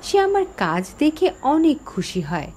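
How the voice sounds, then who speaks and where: A woman's voice: high voice, very animated, measured pace, a little mellow. A woman voicing the sorrowful ghost of a young village teacher, telling her story dramatically in a horror cartoon. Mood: wistful recounting. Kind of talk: dramatic first-person horror story narration. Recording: background music under the voice.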